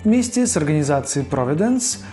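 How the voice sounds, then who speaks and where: A man's voice: on the high side, very animated, brisk. A man hosting a video with scripted narration and informal, slangy asides. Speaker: video host. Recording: faint music under voice-over.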